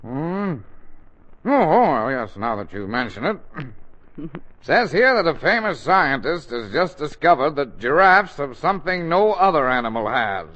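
A man playing a mild, absent-minded middle-aged father in a 1940s radio comedy, mumbling deadpan wisecracks. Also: a little high, a little slow. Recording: dull, noisy 1940s radio broadcast.

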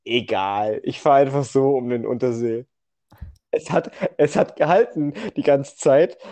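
A young man co-hosting a podcast in casual conversation, telling things in a halting way and often correcting himself. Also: medium pitch, dark tone. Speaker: podcast co-host. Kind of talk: casual chat.

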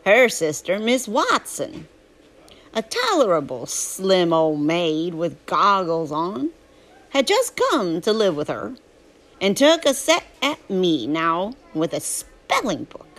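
Woman reading as folksy Southern boy storyteller, very lively pitch. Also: slow pace. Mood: grumbling, wry. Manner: folksy, colloquial, drawling. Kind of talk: first-person storybook narration.

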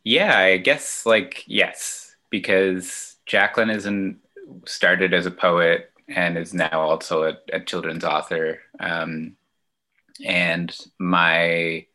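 A man from Ontario, a children's musician, answering interview questions in a relaxed, hesitant way with many fillers and restarts. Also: low-pitched, slow. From Ontario, Canada. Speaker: children's musician and outdoor educator.

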